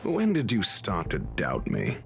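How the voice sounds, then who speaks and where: An actor playing a suave, arrogant male scholar in a video game, speaking formally and deliberately with cool self-assurance. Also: low-pitched, huge pitch swings, vocal fry, full character voice. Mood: amused, probing.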